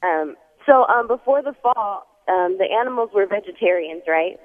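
A woman calling in to a radio show, her voice raspy and hoarse, asking a question hesitantly with many pauses. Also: mid-range voice, animated. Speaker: caller. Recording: tinny phone line.

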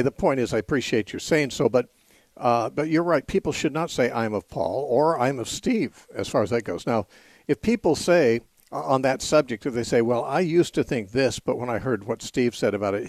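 A middle-aged man hosts a call-in radio show, speaking from a close studio mic with a dry sound. He talks in a thoughtful, searching way, often restarting his sentences. When time runs short he moves callers along briskly. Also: mid-range voice, a little mellow, dry recording. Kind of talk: call-in radio Bible talk. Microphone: studio microphone.

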